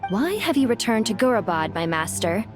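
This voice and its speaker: A regal, ancient female spirit of the desert acted by a woman, with a medium-pitched voice. She speaks in lofty, formal phrases as a devoted but proud servant in a fantasy game. Music plays clearly behind her. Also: very animated, moderate pace, close to an everyday voice. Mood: solemn, reflective. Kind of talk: dramatic video game voice acting.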